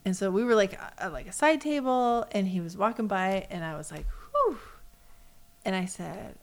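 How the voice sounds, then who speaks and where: A woman's voice: mid-range voice, moderate pace. An American woman from the West Coast, a co-host chatting casually and telling personal stories, acting out what people said. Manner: animated storyteller, acts out quoted remarks.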